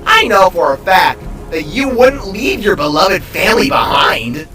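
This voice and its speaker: Gleeful, echoing megalomaniac glitch villain. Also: male voice, sits high, big pitch swings, pushed and bright, recorded from a distance, faint music behind, voice pushed up, heavily acted. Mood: mocking, confident. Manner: theatrical, mocking, drawn-out delivery. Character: gleeful megalomaniac male glitch being, grandiose taunting villain.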